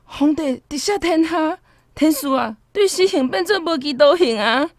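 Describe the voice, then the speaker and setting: A woman playing an excitable woman of a devout family in a radio drama, with a Taiwanese accent. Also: high voice, normal speed, dry recording, studio quality. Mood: excited, relieved.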